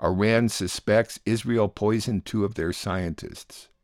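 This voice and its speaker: Low-pitched male radio host, dry studio sound. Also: fairly even intonation, unhurried, a little mellow, no echo. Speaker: radio show host and producer. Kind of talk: scripted narration, presenting news summaries.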